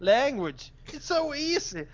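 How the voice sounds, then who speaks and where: Man, Swedish native speaker, fairly high voice, hesitant word-searching, casual co-host chat, muffled lo-fi sound. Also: big pitch swings, slow pace. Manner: searches for words, hesitant phrasing. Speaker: podcast co-host.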